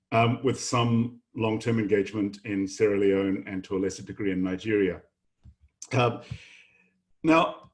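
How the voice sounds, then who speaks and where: A middle-aged man from New Zealand, a professor, giving a measured, earnest welcome address to new students in an online session. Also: medium pitch, a little mellow. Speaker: professor and incoming programme director. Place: home office, online session.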